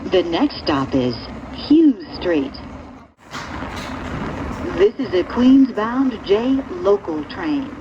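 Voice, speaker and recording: Female transit announcer, formal and deliberate, lively intonation, heard through a train PA in a dull recording. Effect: train public-address speaker. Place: inside a subway train, over speakers. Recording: dull, noisy recording inside moving train. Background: rumbling train noise.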